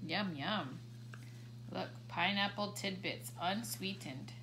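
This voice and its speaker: A woman's voice: on the low side, slow, noisy background. A woman playing with a toddler on a living room floor, patiently prompting simple words and manners. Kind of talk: coaching a toddler through play. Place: carpeted living room at home, indoors.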